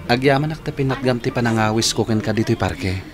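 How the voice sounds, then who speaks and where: An actor with an Ilocano Filipino accent playing a gentle, wounded young suitor in a radio drama. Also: male voice, mid-range voice, fast talker, over loud music, close to an everyday voice. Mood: hopeful.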